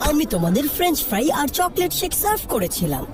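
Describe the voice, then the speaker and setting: Cartoon food server, high voice, friendly, very lively intonation, loud music. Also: female voice, fast talker. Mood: helpful. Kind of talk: dubbed cartoon dialogue. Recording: loud music behind dialogue.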